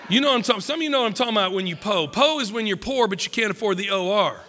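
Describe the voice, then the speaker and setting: A middle-aged man preaching at a pulpit, emphatic and joking, a little croaky from a cold. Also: high voice, animated, rapid-fire, big-room sound, noisy background. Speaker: pastor. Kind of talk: sermon. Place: church stage at a wooden pulpit. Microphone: podium mic.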